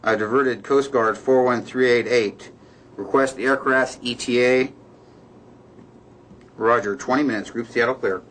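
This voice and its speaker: A male Coast Guard dispatcher, played by an actor, speaking in clipped, procedural radio phrases. The voice is medium in pitch and businesslike, with faint noise behind it. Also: steady tone, measured pace, pauses often, a bit bright, close to an everyday voice, poor audio. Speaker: rescue dispatcher. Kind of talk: dramatized official radio traffic.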